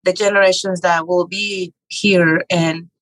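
Brief: middle-aged woman from Mexico, lowish even voice, hesitant and halting, dry close sound. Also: no room sound, rough recording. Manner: hesitant, many fillers and restarts. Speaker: podcast guest, real estate investor and author. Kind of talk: conversational interview.

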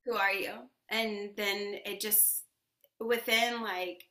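A woman with a bright, clear voice talks as a guest on an interview show. She is effusive and laughs often while she speaks about spiritual matters. Her voice carries a roomy echo from the space she was recorded in. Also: medium pitch, fairly even intonation, takes it slowly. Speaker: yoga teacher and podcast guest. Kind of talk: casual interview chat.

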